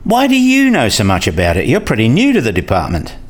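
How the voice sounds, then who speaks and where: A man's voice: mid-range voice, wildly swooping pitch, a little fast. An actor playing a curious, wry young male academic with articulate, bantering delivery in a radio play. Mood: friendly, inquisitive. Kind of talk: radio play dialogue and first-person narration.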